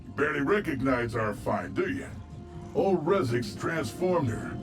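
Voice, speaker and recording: An actor playing a gruff, cocky outlaw fighter with a folksy, confident manner in a video game. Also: male voice, on the high side, animated, normal speed, over music, natural voice. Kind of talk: cinematic video game acting.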